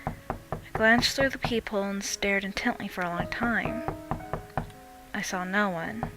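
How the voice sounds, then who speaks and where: A woman's voice: medium pitch, a little slow, rough recording. A young adult woman reading a first-person horror story as dramatic scripted narration. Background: music.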